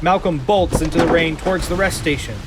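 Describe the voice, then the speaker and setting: Male narrator, dramatic, over loud rain. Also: fairly high pitch, brisk, very noisy. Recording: loud rain and storm effects behind.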